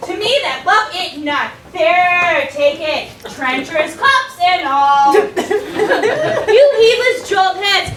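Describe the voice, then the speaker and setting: A woman playing a bossy, blustering male lord on an amateur stage, shouting at servants and laughing between lines. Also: extremely high pitch, lively intonation, takes it slowly, noisy background, exaggerated voice. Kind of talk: amateur stage acting of verse. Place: stage before a live audience. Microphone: distant room mic. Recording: echoey, distant hall recording with loud crowd.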